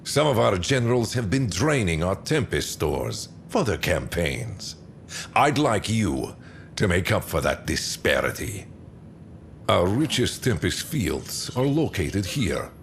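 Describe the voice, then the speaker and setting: An actor playing a stern, commanding male field marshal who gives curt, measured orders in a game cutscene. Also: deep voice, very animated, gravelly, a little hiss or hum, heavily acted. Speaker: military commander giving orders. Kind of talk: video game cutscene acting.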